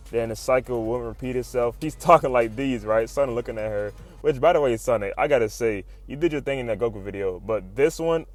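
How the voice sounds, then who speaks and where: A man giving a casual, excited recap as a video host, full of slang, swearing and animated exclamations. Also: mid-range voice. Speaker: YouTube host recapping comics.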